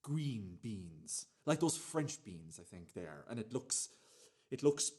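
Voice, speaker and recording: A man playing a defensive, fussy, foul-mouthed home cook in a radio play monologue, emphatic and self-justifying, with a husky voice. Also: fairly low pitch, big pitch swings, takes it slowly, dark tone, heavily acted. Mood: defensive pride. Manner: emphatic, self-justifying, stop-start delivery with swearing.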